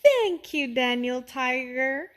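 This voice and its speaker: Woman as a happy little girl, high-voiced. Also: expressive, takes it slowly, a bit bright, full character voice. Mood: happy, grateful. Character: happy, grateful little girl newly arrived in a storybook neighborhood.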